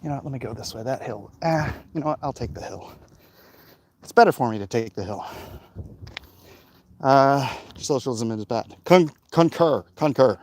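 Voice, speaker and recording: Animated man chatting on a walk. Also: mid-range voice, moderate pace, long pauses. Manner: animated, blunt, opinionated; frequent throat clearing. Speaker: livestream host answering viewer comments. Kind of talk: casual rambling chat while walking. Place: outdoors, walking along streets. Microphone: phone held in hand at arm's length. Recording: faint outdoor street and traffic noise.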